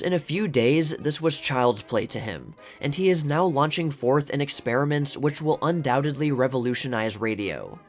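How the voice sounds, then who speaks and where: A man giving a scripted narration, reading aloud from old magazine articles. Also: mid-range voice. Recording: music playing behind the voice. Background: background music.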